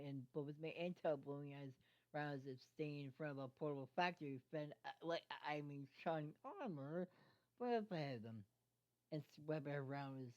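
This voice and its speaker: An amateur reader narrating a fan fiction story aloud in a halting way, stumbling over words and repeating phrases. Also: mid-range voice, a little mellow. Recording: dull lo-fi microphone sound.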